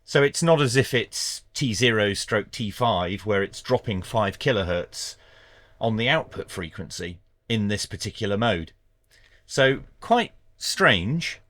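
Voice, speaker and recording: Low, dry-sounding man reviewing gear. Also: lively intonation, a bit bright, no echo. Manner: measured, matter-of-fact explaining. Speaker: product reviewer. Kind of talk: hands-on tech review commentary.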